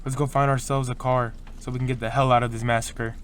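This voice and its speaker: A man's voice: medium pitch, little pitch movement, fast talker, a little hiss or hum, close to an everyday voice. A man playing an impatient, sarcastic young shooter in an audio drama, speaking casually.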